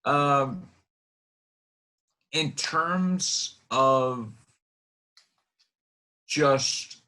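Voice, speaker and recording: Halting male interviewer with a bright voice. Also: medium pitch, poor audio. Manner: halting, rambling, searching for words. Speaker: interview host. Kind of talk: informal interview questioning.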